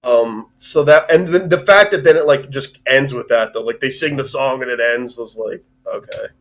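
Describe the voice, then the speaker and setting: A man's voice: medium pitch, normal speed, fairly bright tone, slight background noise. Casual, rambling man on a livestream. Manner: rambling, frequent swearing. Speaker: stream co-host reviewing a movie. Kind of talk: casual movie discussion on a livestream.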